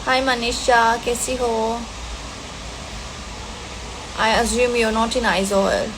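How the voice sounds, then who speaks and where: Woman chatting over steady hiss. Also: a little high, slow pace. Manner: relaxed, hesitant with fillers, mixing languages. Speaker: film actress answering fan questions. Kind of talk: casual question and answer.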